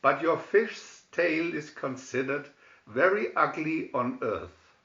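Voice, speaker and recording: A man plays a grave old merman king from a storybook, fatherly and solemn. He explains slowly in a roomy, slightly dull recording. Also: on the high side, expressive, creaky, bright, forceful tone, exaggerated voice.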